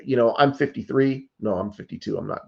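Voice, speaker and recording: A man in his fifties speaks in an earnest, pressing way, stumbling over restarts and leaning on "like" and "you know." His voice sits at a middle pitch. The audio is dull and muffled, as if it were coming through a video call. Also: fairly quick, creaky, husky. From United States. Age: 50s. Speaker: political candidate. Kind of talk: interview answers.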